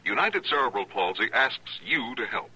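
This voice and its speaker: Announcer, male: very bright, projecting, husky-edged, lively inflection, earnest public service read on old radio. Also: mid-range voice, low-quality recording. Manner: earnest, deliberate. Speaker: charity appeal announcer.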